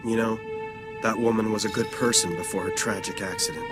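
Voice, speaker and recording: An actor playing a conspiratorial, directive resistance leader in a video game, with theatrical delivery. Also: male voice, fairly low pitch, fairly even intonation, fairly quick, close to an everyday voice. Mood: grave, persuasive. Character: urgent, conspiratorial male resistance leader guiding an agent. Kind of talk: dramatic video game cutscene acting. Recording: music behind the voice.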